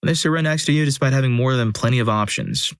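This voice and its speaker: A man narrating a compilation of first-person stories in a steady, scripted reading, with dry, wry asides. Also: mid-range voice, quick pace, clean tone, no room sound.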